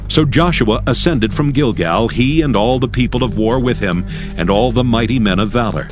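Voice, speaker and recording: A man narrating dramatized scripture in a formal, measured storytelling manner. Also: medium pitch, music in the background.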